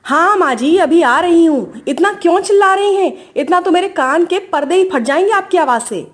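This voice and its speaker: Woman acting a high-voiced, defiant young wife. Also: sing-song, normal speed. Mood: cheeky, annoyed. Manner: whiny, back-talking. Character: defiant, complaining young daughter-in-law.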